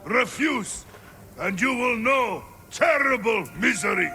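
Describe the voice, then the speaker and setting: Mongol warlord shouting threats, gravelly and piercing, slow big proclamations, video game villain. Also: male voice, very high voice, expressive, a little hiss or hum, heavily acted. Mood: menacing, imperious. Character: menacing Mongol war band leader.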